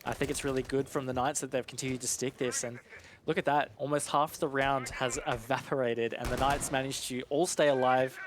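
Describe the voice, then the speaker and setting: Guy doing esports analysis, mid-range voice, chatty explainer, clear gunfire and clicking noise behind. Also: medium pitch, flat delivery, fairly quick. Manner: conversational, explanatory.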